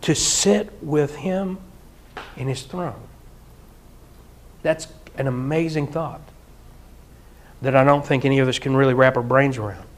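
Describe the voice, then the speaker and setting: A middle-aged man preaching a sermon in a church hall, animated and often breaking into mock singing and laughter. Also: mid-range voice, a little slow, pauses often. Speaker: pastor. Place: church hall with projector screen.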